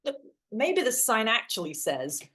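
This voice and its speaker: An actress playing an awkward, literal-minded young woman in a play reading, a hopeless romantic with a hesitant, wry deadpan manner. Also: fairly high pitch, a little slow. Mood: incredulous. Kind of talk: two-hander stage play reading.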